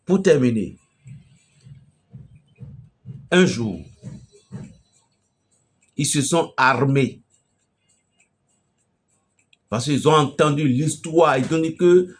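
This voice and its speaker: A man from Benin with a Beninese French accent, preaching an emphatic, storytelling message to a fellow pastor. His voice swells loudly at the climaxes. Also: fairly high pitch, extremely slow, long pauses, breathy edge. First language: a local Beninese language.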